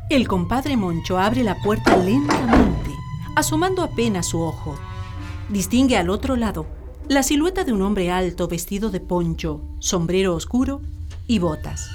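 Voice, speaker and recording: Female narrator for a radio drama, mid-pitched, scripted storytelling, loud music bed. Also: expressive, normal speed.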